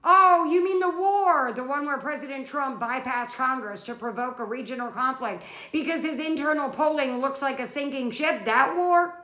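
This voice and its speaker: Comedy skit: female performer as sardonic, exasperated office coworker, withering and articulate, bright tone, echoey room. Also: high voice, moderate pace, hardly any pauses. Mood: sarcastic. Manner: dry, withering, articulate sarcasm.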